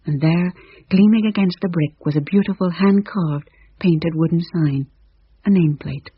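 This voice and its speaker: A woman with a very breathy, husky voice reads a suspenseful story in hushed tones. The recording is dry and close, with a slightly dull sound. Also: on the low side, normal speed, no room sound. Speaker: narrator. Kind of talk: storybook narration.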